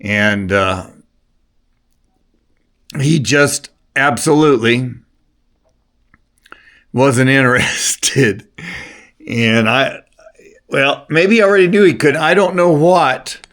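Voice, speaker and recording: Slow-talking older man, rambling along. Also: mid-range voice, animated, fairly bright tone. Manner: rambling, halting, trailing off. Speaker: CB radio hobbyist. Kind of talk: casual unscripted product talk.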